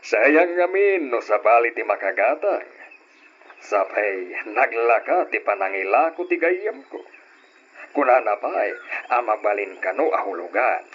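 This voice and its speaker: A man playing a smooth-talking, pushy family man in an old Ilocano radio drama, on a dull recording. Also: mid-range voice, very animated, measured pace. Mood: coaxing.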